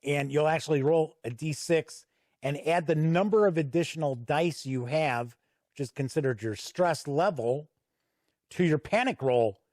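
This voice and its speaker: A man's voice: on the high side, normal speed, a little mellow, no room sound, clean studio sound. A middle-aged man giving a casual, conversational review commentary that explains game rules.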